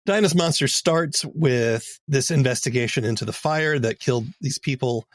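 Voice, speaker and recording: A man's voice: mid-range voice, moderate pace, no room sound. A man hosting a podcast, asking conversational interview questions.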